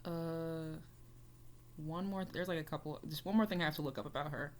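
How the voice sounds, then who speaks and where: A woman's voice: sits low, a little fast, slight background noise. Rambling, chatty young woman vlogger. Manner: chatty, rambling, many fillers. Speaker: reaction YouTuber. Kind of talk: casual reaction vlog.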